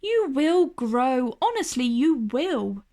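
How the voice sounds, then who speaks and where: A woman's voice: sits high, very animated, takes it slowly, warm tone, full character voice. A woman voicing a female monkey in a children's storybook, a puzzled, bluntly reassuring friend who speaks in exclamations.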